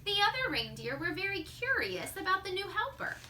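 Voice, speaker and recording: A woman reading a children's story aloud like a teacher, expressively, drawing out words and dropping into hushed asides. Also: very high-pitched, sing-song, brisk, a little hiss or hum.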